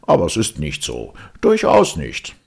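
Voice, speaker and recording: A man's voice: low voice, huge pitch swings, measured pace, gravelly, darkish tone, breathy edge, full character voice. A man voicing a fat, schoolboy-like little man, contrary and emphatic, in a storybook reading.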